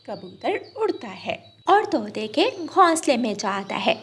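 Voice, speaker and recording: A woman's voice: fairly high pitch, big pitch swings, slow, slight background noise. A woman reading scripted narration for a children's story as its narrator.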